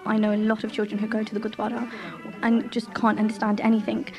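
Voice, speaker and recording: A woman's voice: medium pitch, monotone, quick pace, darkish tone, faint music behind. A nineteen-year-old woman, a choir member answering casually in an interview.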